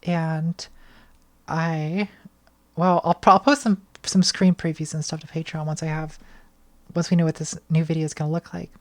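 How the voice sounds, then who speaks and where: A young woman answering patron questions on a casual livestream from her bedroom, thoughtful and hesitant, with a slightly hoarse voice, speaking into a studio mic. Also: low voice, little pitch movement, normal speed. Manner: thoughtful, hesitant, many restarts and ums. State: voice getting hoarse. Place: small bedroom lit purple. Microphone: studio mic on arm, front of frame.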